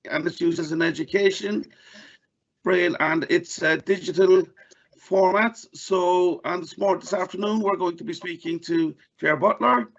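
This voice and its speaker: An Irish man hosting a podcast in a casual, halting way, often restarting his sentences. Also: sits high, gravelly. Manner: halting, with many restarts and fillers. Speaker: podcast host. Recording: dull, lo-fi audio.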